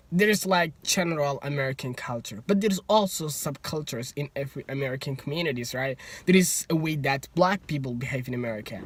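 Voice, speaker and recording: Young adult male, Somali accent, animated storyteller, slightly high pitch. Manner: animated, acts out both sides of conversations, laughs often. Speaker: student. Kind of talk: casual storytelling.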